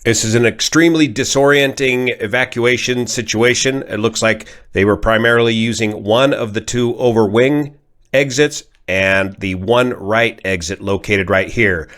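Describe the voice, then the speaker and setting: An American man, a pilot from California, giving an informal analysis in a measured, methodical, technical way. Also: mid-range voice, fairly bright tone. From California, United States. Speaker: pilot and aviation commentator. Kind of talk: informal explanatory accident analysis.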